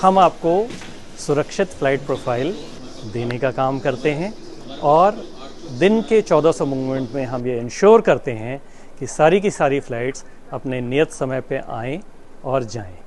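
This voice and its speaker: A man with an Indian accent giving a formal, explanatory address as a manager, in measured phrases with pauses mid-sentence. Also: medium pitch, expressive, a little mellow. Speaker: air traffic control manager. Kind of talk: explanatory public address to camera. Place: inside an airport control tower.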